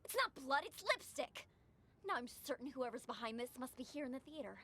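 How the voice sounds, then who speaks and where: High-pitched, emotional young stage actress. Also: female voice, sing-song, a little fast, pushed and bright, heavily acted. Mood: angry, determined. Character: anxious young stage actress, distressed and insistent. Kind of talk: interactive radio drama acting.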